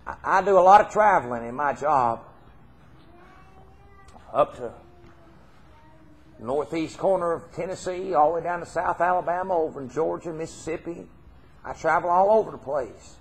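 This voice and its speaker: A man's voice: on the high side, normal speed, pauses often. A middle-aged man with a Southern US accent preaching an informal, folksy, earnest sermon, repeating phrases for emphasis. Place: church hall stage, indoors.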